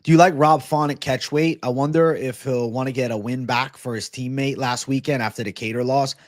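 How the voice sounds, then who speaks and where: A man's voice: medium pitch, fairly even intonation, a little fast, no echo, clean studio sound. A young adult man from Massachusetts hosting a casual MMA livestream, chatting loosely and animatedly with bro-heavy slang while reading viewer comments.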